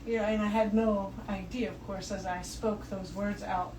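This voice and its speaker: A woman guest talks reflectively in casual spiritual chat, often stopping and restarting her thoughts. She is heard from a distance in a reverberant room. A clear noise bed runs under her voice. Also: mid-range voice, fairly even intonation, normal speed. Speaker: podcast guest.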